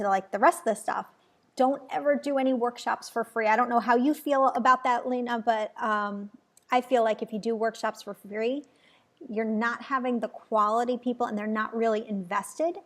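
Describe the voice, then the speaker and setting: A woman's voice: on the high side, moderate pace. A woman hosting an interview show, upbeat and enthusiastic as she leads the conversation. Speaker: show host, business coach. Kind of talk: interview host chat.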